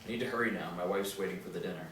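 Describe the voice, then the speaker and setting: A man playing a brisk, sprightly old professor in a script table read. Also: deep voice, a bit bright. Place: small indoor room, group table reading. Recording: very echoey room, distant mic, noise.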